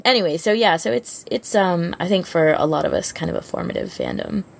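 A woman's voice: lively intonation, fairly quick, creaky. A woman co-hosting a casual fan podcast, chatting informally in an exclamatory, teasing way. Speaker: podcast co-host. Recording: faint rumbling background noise.

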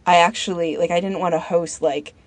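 A woman's voice: fairly low pitch, steady tone, a little fast, no echo. Woman podcaster, close dry sound. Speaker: podcast co-host. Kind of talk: casual panel chat.

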